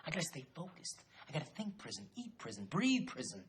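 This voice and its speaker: A man's voice: fairly high pitch, wildly swooping pitch, fast talker, a bit bright. A man playing a self-centred, evasive husband in a comedy film, wheedling and defensive. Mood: weary, dismissive. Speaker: film character.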